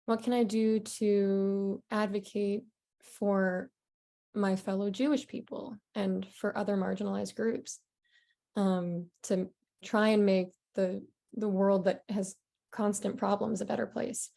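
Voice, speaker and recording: Woman, panelist, slow speech, vocal fry, thoughtful, video call. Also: mid-range voice, steady tone, mellow. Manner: thoughtful, hesitant with fillers. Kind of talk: panel discussion.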